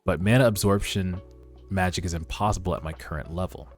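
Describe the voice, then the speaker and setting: Determined young sorcerer for a webtoon dub, read by a man: deep, measured, earnest, music beneath. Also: close to an everyday voice. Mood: grim resolve. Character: reincarnated young male sorcerer, determined and calculating. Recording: music under the voice.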